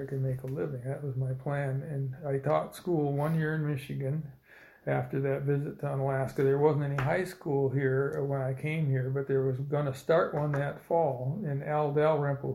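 A man in his seventies from Indiana, telling his life story slowly in an oral history interview, with many pauses and fillers. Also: mid-range voice, gravelly, mellow, echoey room, rough recording. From Indiana, United States. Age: 70s. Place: old building, a former dormitory.

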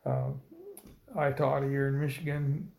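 A man in his seventies from Indiana recalls his past in an oral history interview, pausing often on fillers. His voice is gravelly and stays level in pitch. The recording carries a roomy echo. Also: monotone, mellow, slight background noise. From Indiana, United States. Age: 70s. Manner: slow, hesitant storytelling with many fillers. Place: old building, a former dormitory.

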